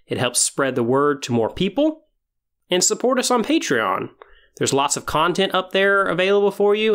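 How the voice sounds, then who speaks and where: A man presents a podcast monologue in a medium-pitched voice. He explains in a measured, earnest manner, setting off key phrases with pauses. The delivery sounds partly prepared and addressed straight to listeners. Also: a bit bright. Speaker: podcast host. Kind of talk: semi-scripted podcast monologue about tabletop role-playing.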